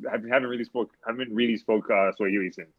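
A man's voice: on the low side, steady tone, fast, narrow, tinny audio, crowd noise. Hesitant young man who repeats himself. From born in Congo. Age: 20s. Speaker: podcast co-host. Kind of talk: casual chat.

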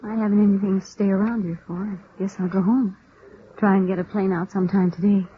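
A woman's voice: mid-range voice, steady tone, fairly quick, close to an everyday voice. An actress with an American accent playing a grieving, bitter widow in her thirties, brooding and intense, in 1950s radio drama style. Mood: weary, bitter. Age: 30s. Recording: muffled, hissy vintage radio recording.

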